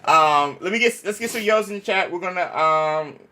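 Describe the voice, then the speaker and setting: A man hosting a livestream, chatting casually and reading sponsor spots, often halting and repeating himself. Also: sits high, pushed and bright. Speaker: livestream host.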